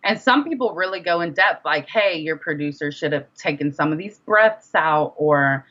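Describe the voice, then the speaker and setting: Casting: young female interview guest, very low register, candid and emphatic, casual delivery, muffled lo-fi recording. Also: animated, normal speed, fairly bright tone. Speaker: guest, independent music artist. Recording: dull, lo-fi sound.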